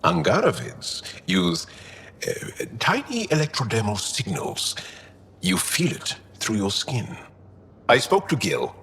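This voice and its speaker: A man playing a gruff, measured alien crewmate in a video game, with a raspy, halting, understated delivery. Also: medium pitch, wildly swooping pitch, fairly bright tone, a little hiss or hum, exaggerated voice. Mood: thoughtful, dry. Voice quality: very raspy, gravelly.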